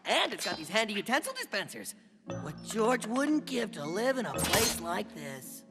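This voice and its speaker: An actor voicing a friendly, dim-witted cartoon jungle man who is excitable and naive. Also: high-pitched, moderate pace. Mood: eager. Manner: naive, refers to himself in third person. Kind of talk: cartoon voice acting. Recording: loud music behind.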